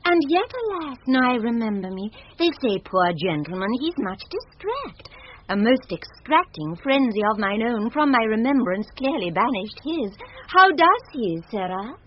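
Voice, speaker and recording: An actress playing an imperious, quick-tempered noble lady in a radio Shakespeare comedy. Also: on the high side. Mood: commanding. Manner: commanding, expressive. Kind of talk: 1970s British radio Shakespeare acting. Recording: muffled old radio broadcast, faint noise.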